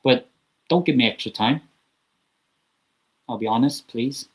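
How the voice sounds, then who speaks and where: A man's voice: mid-range voice, a little slow, breathy edge. A man hosting a live football watchalong, giving casual, rambling commentary with chatty asides from a small room at home. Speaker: watchalong host.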